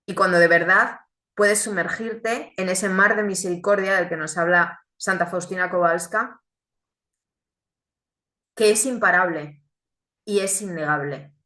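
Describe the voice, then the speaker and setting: A woman, born in Chile, giving an earnest, reflective religious testimony with deliberate pauses. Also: on the low side, pushed and bright, echoey room. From Chile, raised in Spain.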